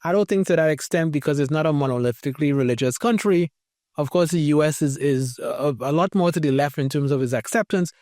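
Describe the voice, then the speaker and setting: A man's voice: on the high side, a little fast, a little mellow, no echo, clean studio sound. A man from Trinidad hosting a podcast interview, speaking thoughtfully with hesitant restarts. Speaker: podcast host. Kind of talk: conversational interview.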